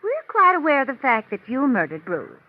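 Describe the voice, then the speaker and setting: A woman's voice: high voice, huge pitch swings, brisk, heavily acted. Swooping, catty society woman. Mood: haughty, reproachful. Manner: gushing, haughty, theatrically reproachful. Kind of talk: old-time radio mystery acting. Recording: dull lo-fi vintage radio recording.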